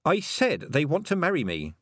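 A man voicing a headstrong, quick-witted, bossy young girl in an audiobook. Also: on the high side, wildly swooping pitch, fairly bright tone, no echo, studio quality, voice pushed up, exaggerated voice. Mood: indignant, pleading. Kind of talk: audiobook character acting.

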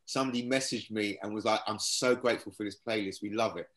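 Animated man telling stories. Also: lowish voice, fast talker. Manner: animated storyteller, repeats himself. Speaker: guest. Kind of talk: casual chat. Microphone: video call.

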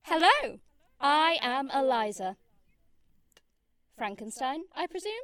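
A woman voicing a newly awakened, innocent female AI in an audio drama, speaking in a formal, stilted and deliberate way. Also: high-pitched, very animated, a bit bright, dry recording, full character voice. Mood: polite.

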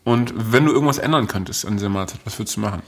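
A man's voice: lowish voice, very fast. A man hosting a podcast in casual, comedic chat, riffing playfully in a rambling way. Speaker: podcast host.

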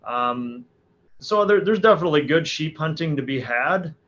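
A man's voice: on the high side, lively intonation, normal speed, fairly bright tone. A man in his forties, a hunting guide, answering interview questions casually with frequent restarts and fillers. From Alaska. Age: 40s. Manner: hesitant, many restarts and fillers. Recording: dull lo-fi sound.

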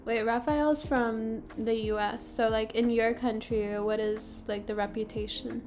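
High-voiced, inquisitive woman podcast host. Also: fairly even intonation, unhurried, a little mellow, faint music behind. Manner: informal, inquisitive, reactive. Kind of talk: casual chat, asking questions.

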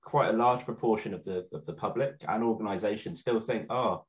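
A man calmly explaining his view in a podcast discussion. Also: fairly low pitch, a little fast. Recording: dull lo-fi mic.

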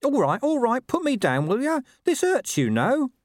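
A man voicing a scruffy high-pitched grumbling talking dog. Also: huge pitch swings, normal speed, no room sound, clean studio sound, exaggerated voice. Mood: pained protest. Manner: slangy, cynical, indignant, boastful. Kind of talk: audiobook character voice.

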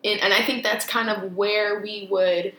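A young woman co-hosting a casual podcast, talking thoughtfully and measured, often pausing to rephrase. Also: mid-range voice, steady tone, a bit bright. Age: young adult. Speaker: podcast co-host. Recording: echoey room with faint noise.

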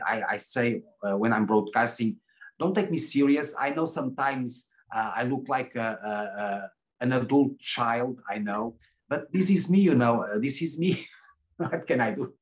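A man's voice: medium pitch, moderate pace. Hesitant middle-aged man, stumbling and repeating. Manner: hesitant, restarts and repeats words often. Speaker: webcam broadcaster, guest. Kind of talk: candid interview answers.

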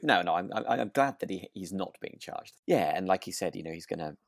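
A man's voice: low-pitched, lively intonation, a little fast, vocal fry, no room sound, clean studio sound. A man in casual podcast chat as co-host, agreeable and hesitant in his phrasing. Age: over 25, adult. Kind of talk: casual chat reviewing a radio drama.

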